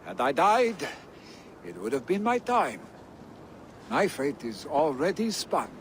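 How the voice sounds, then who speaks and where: An actor playing a brash, carefree young Viking warrior in a video game cutscene, boastful and defiant. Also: male voice, on the high side, very animated, slow pace. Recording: noisy game ambience behind dialogue.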